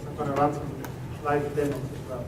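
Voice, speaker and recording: A middle-aged man from Switzerland with a Swiss German accent, giving a relaxed technical talk to a meetup audience with plenty of "uh" and "um". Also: medium pitch, fairly quick. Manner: relaxed and conversational, with frequent "uh" and "um". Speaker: presenter at a tech meetup. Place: meeting room at a company office. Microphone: room recording from a distance. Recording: echoey room from far off, with background noise.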